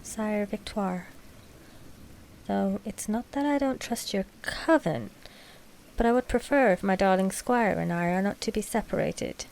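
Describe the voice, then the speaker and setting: A woman's voice: mid-range voice, a little slow, vocal fry, darkish tone, slight background noise, rough recording. Casting: actress as female vampire royal for audio drama. Proud, possessive yet frank, mid-range voice, precise formal diction. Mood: imperious, protective. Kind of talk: scripted audio roleplay drama.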